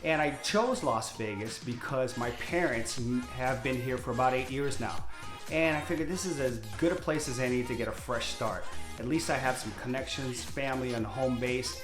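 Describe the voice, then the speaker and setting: A man's voice: mid-range voice, moderate pace, echoey room, over music. A man in his fifties from Hawaii, introducing himself to camera as a real estate agent in a candid, upbeat way. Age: 50s. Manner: candid, talkative, upbeat salesman. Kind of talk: direct-to-camera self-introduction.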